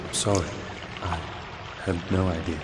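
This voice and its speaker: Casting: actor as steady male support agent for a game, lowish pitch, matter-of-fact, under heavy music. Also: moderate pace, natural voice. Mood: concerned. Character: calm supportive male mission partner of a heroine. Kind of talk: video game cutscene acting.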